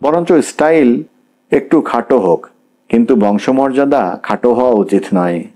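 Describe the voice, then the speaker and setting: Brief: defensive, insistent young husband in a storybook, read by a male narrator, mellow tone. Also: medium pitch, animated, moderate pace, close to an everyday voice. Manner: argumentative, insistent.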